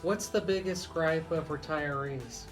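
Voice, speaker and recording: A man's voice: fairly high pitch, unhurried, soft background music. An American man hosting live talk radio as an attorney, explaining things and addressing listeners directly. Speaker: radio host and estate planning attorney.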